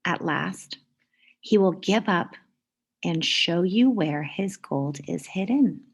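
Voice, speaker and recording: A woman voicing a mysterious, playful man in a children's storybook, telling secrets with hushed, dramatic delivery. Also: lowish voice, lively intonation, takes it slowly, vocal fry, slightly rough, exaggerated voice. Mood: secretive, instructing.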